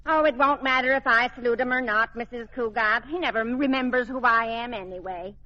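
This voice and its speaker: An actress playing a chatty, good-humored household maid in a 1940s radio comedy, telling gossipy tales. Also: female voice, a little high, fairly quick, lightly acted. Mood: cheerfully gossipy. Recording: dull vintage radio recording.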